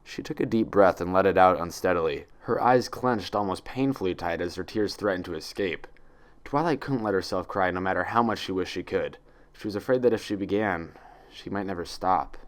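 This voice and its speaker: A man's voice: sits low, fairly quick. A man reading a story aloud as its narrator, in scripted prose. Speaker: fan fiction reader, narrator.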